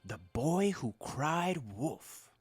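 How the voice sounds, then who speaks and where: Theatrical woman storyteller, animated and rhythmic. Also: low voice, drawn-out words, husky. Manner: theatrical, expressive, emphatic. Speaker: narrator. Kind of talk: rhythmic rhyming storytelling radio drama narration. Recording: loud music behind narration.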